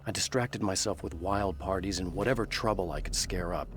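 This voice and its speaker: An actor playing a brooding, tormented novelist in a video game, narrating gravely and with measured delivery like noir. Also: male voice, low-pitched, a little hiss or hum, lightly acted. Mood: somber, regretful. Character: brooding tormented bestselling crime novelist hero, adult man. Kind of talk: scripted first-person noir narration.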